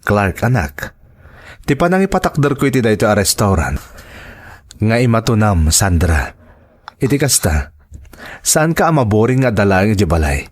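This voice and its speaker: A man's voice: fairly low pitch, sing-song, normal speed, pauses often. A man playing a devoted, reassuring husband and father in an Ilocano drama, speaking earnestly. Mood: proud, tender. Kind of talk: Ilocano radio-style drama acting.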